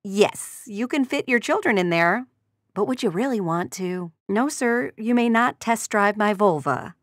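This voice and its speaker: An actress playing a courteous but dryly sardonic receptionist at a car dealership, delivering scripted video game lines. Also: female voice, mid-range voice, expressive, measured pace, dry recording, studio quality, lightly acted. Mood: politely dismissive. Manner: courteous customer-service formality with sardonic undertone. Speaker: secretary answering customer calls.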